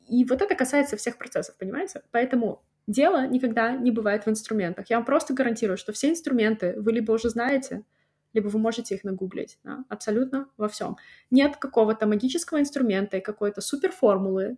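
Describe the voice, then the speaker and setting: A woman hosting a solo podcast on language teaching, talking casually with many "right?" tags and little laughs. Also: on the high side. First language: not native in the language she teaches. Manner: chatty, frequent "да?" tags and fillers, laughs now and then. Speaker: podcast host and phonetics teacher.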